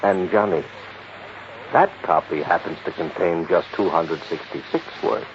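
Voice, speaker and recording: A worried American insurance executive with a low voice, from 1950s radio drama. He explains things emphatically, with pessimistic prodding questions. The old recording is muffled and faintly noisy. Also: unhurried. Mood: emphatic explaining.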